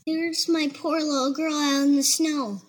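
A woman's voice: sits high, steady tone, a little slow, pitched above the performer's own voice. A woman voicing a small girl who pleads insistently. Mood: pleading.

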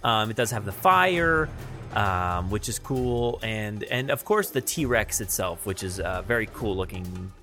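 A man hosting a podcast, chatting casually through news in a halting, think-aloud way. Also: on the low side, animated, sharp tone, soft background music. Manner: halting, thinking aloud while describing what he sees. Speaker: podcast host. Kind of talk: casual unscripted news chat.

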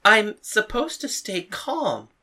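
Woman voicing an earnest, forceful young female fighter. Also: medium pitch, sing-song, slow pace, exaggerated voice. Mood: apologetic. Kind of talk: audiobook character dialogue.

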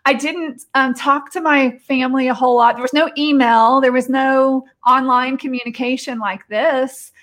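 A woman's voice: sits high, fairly even intonation, moderate pace, few pauses, fairly bright tone. A middle-aged woman chatting casually as an interview guest, telling personal stories. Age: 40s or 50s.

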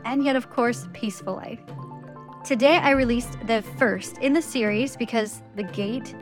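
A woman reading a scripted promo in a smooth, earnest way. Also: a little high. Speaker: ad presenter.

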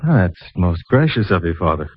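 An actor playing a genial, easygoing detective's friend in 1950s radio drama, casual and polite. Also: male voice, sits low, sing-song, moderate pace, husky, exaggerated voice. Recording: muffled old radio recording.

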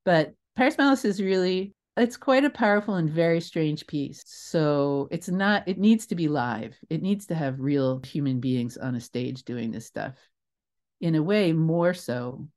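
A woman's voice: sits low, darkish tone. A woman speaking as an interview guest in a casual, rambling and enthusiastic way about creative work.

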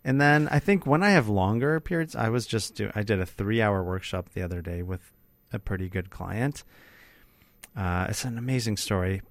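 A man's voice: lowish voice, moderate pace, no echo. A middle-aged man talking casually and reflectively in a conversational interview, with an earnest way of explaining things. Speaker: workshop facilitator and speaker, interviewing.

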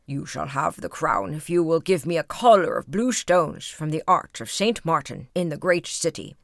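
A woman voicing a huge, greedy male giant, gruff and demanding, in a storybook fairy tale. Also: sits low, animated, moderate pace, no echo, clean studio sound.

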